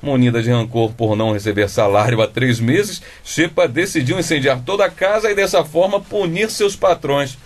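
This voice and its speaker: A man's voice: medium pitch, lively intonation, normal speed, hardly any pauses, lightly acted. A Brazilian man playing a pompous, sarcastic husband and father, a schoolteacher, in a comic radio drama, theatrical and wordy. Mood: mock-dramatic reading.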